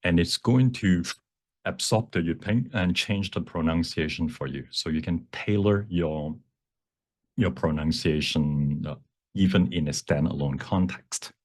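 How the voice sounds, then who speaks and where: A man teaching a live software demonstration, explaining step by step in a halting, self-repeating way and switching between two languages. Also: deep voice. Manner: bilingual, switches between English and Cantonese. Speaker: workshop presenter, instructor.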